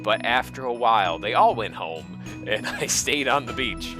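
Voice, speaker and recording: A man's voice: mid-range voice, lively intonation, normal speed. A man narrating a comic dramatic reading of fan fiction in a mock-serious, theatrical way, with music behind him.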